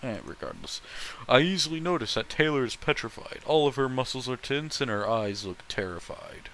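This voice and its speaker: A man's voice: mid-range voice, normal speed, fairly bright tone, slight background noise. A man reading fan fiction aloud and adding casual, blunt, opinionated commentary with heavy swearing.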